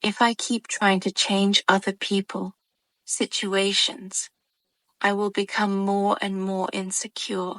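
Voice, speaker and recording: A woman leading a guided meditation with soothing, calm narration in short, measured phrases and gentle pauses. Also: medium pitch, no room sound, rough recording.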